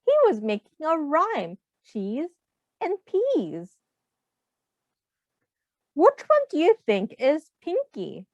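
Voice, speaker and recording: A woman reading a picture book aloud to young children in an expressive, teacherly way. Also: high voice, takes it slowly, pauses often. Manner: expressive, asks the listeners questions. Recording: muffled, echoey room sound.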